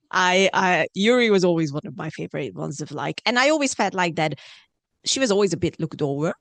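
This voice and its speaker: A woman's voice: fairly low pitch, animated, moderate pace, vocal fry, a bit bright, no room sound. A woman from Hungary interviewing a guest casually as an enthusiastic podcast host.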